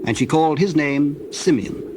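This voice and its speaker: A man narrating scripture as a formal, measured storyteller in a scripted dramatization. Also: fairly high pitch. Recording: clear background noise, birdsong and doves.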